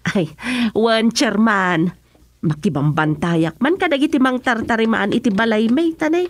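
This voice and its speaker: A woman's voice: a little high, expressive, a little slow, no room sound. A woman playing a humble, polite village housewife in a comedic radio drama. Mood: polite, modest.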